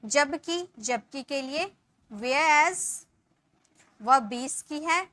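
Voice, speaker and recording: A woman in her thirties teaching spoken English, explaining in Hindi and saying the English sentences slowly, word by word, and often checking in with her viewers. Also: high-pitched, lively intonation, bright, forceful tone, no room sound. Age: 30s. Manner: addresses viewers as guys, checks in with "ठीक है".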